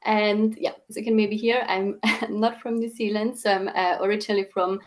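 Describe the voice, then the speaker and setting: A woman's voice: mid-range voice, little pitch movement, normal speed. A young woman from Austria with an Austrian accent, chatting as a podcast guest and peppering her speech with fillers. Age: young adult. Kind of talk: casual chat.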